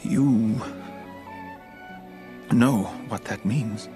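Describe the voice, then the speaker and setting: An actor playing a gentle, bashful landowner in love in an animated Bible story, halting and earnest. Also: male voice, on the low side. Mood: astonished, hopeful. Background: music.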